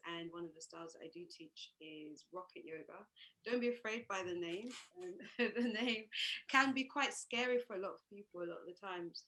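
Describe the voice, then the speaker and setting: A woman from Uganda, a yoga teacher who answers thoughtfully with many pauses and restarts. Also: fairly low pitch, a bit bright, low-quality recording. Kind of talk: interview answers.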